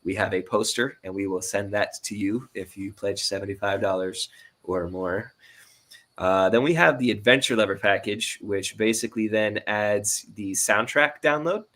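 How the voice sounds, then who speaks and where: A man's voice: on the low side, normal speed, a bit bright. A man talking casually and informally as a guest, explaining things in a rambling, friendly way. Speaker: guest, audio drama producer. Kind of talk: casual explanation of crowdfunding rewards.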